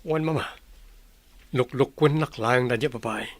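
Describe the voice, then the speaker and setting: Casting: man as jilted-sounding adult Filipino son, radio drama; bitter, aggrieved, average male pitch. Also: unhurried, no echo, natural voice. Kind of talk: Ilocano radio drama acting.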